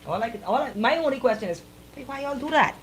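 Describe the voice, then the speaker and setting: A woman with a Bahamian accent hosting a live radio show with casual banter, talking in a playful, animated, colloquial way. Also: fairly high pitch, fast, slight background noise.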